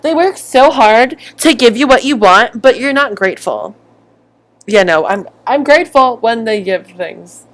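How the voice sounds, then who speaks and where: A woman's voice: fairly high pitch, a little slow. A woman giving casual, sarcastic reaction commentary, often acting out what others say. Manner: sarcastic, animated mimicking. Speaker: video commentator.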